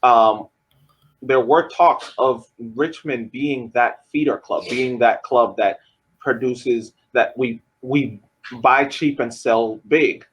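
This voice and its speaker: A man with a husky, slightly raspy voice co-hosting a sports podcast. He talks in a casual way, circles back and restarts his sentences as he builds an argument. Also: medium pitch, slow, poor audio. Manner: hesitant, restarts often while building an argument. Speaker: podcast co-host.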